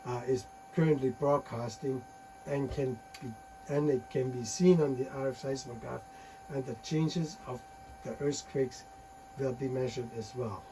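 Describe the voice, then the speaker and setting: Halting man presenting technical slides. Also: medium pitch, fairly even intonation, background noise. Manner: halting, frequent uh and restarts.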